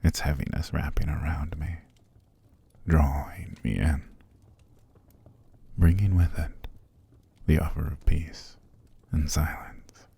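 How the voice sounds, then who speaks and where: A man playing a possessive, seductive vampire master in an ASMR roleplay, calm and commanding, slow and formal, often sinking to a gravelly whisper. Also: rumbling low, heavily acted. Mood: dreamy, wistful. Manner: slow, formal, soothing but controlling.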